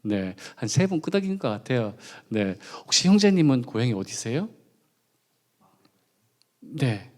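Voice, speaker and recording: Playful, lively-voiced pastor. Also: male voice, mid-range voice, measured pace, pauses often. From Gunwi, North Gyeongsang, South Korea. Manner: playful, chatty, jokes and quizzes the audience. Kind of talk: sermon with congregation interaction. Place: church during a midweek service.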